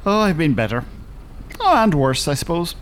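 A man's voice: on the high side, very animated, moderate pace, fairly bright tone, a little hiss or hum. An actor playing an eloquent, cantankerous Dublin man in his seventies in a radio drama, wordy and pompous. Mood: wry, genial. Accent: Dublin Irish. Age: 70s. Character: eloquent, cantankerous, world-weary older Dublin gentleman.

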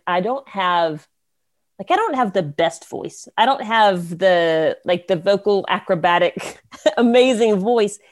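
A woman from the Carolinas, a songwriter guest telling her story in an interview, bubbly and rambling with laughs. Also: lowish voice.

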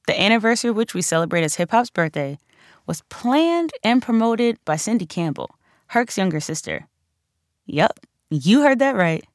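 A woman's voice: medium pitch, no room sound. A woman reading her own nonfiction audiobook in a conversational, emphatic storytelling manner, close on the microphone. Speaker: author narrating own audiobook. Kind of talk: scripted audiobook narration.

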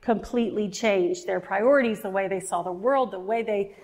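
Woman preacher in her forties, earnest and reflective, slightly gravelly, long church-hall reverb. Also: medium pitch, a little mellow. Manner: earnest, reflective, slows down for emphasis. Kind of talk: sermon.

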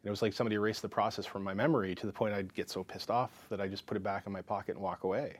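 A man, a counselor talking about his life in a frank, lively way as an interview guest. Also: fairly low pitch, very fast, breathy edge. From Canada. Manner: frank and lively, full of fillers and restarts. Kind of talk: casual interview storytelling.

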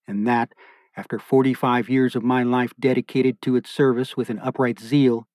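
A man working as an audiobook narrator reads scripted text in a formal, measured and solemn manner. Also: mid-range voice, darkish tone, no echo.

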